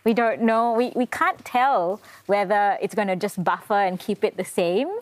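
A woman's voice: mid-range voice, moderate pace. A woman speaking as a panel commentator in an unscripted discussion.

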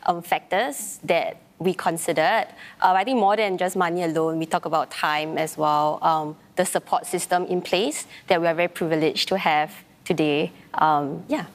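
Low-pitched woman guest. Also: fairly even intonation, normal speed. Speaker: panel guest. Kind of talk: personal account in discussion.

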